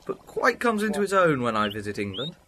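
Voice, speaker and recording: A man playing an eccentric, blustering time traveler, theatrical and quick to bluff. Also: fairly high pitch, sing-song. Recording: noisy amateur recording.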